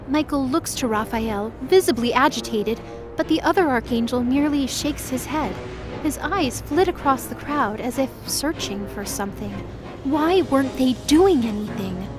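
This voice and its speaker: A woman's voice: sits high, normal speed. A woman reading dramatic scripted narration as the storyteller of an audio drama. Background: music.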